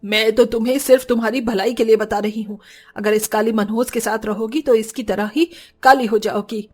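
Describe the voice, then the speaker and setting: A woman's voice: fairly high pitch, steady tone, normal speed, close to an everyday voice. A woman playing a cruel, scolding middle-aged mother-in-law in a cartoon story. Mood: scornful. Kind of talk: Hindi cartoon story acting.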